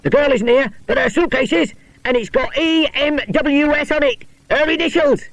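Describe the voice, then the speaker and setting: A man voicing an eager, excitable young schoolchild detective in a storybook, urgent and exclamatory. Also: very high voice, normal speed, gravelly, no echo, voice pushed up, exaggerated voice, rough recording. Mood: excited.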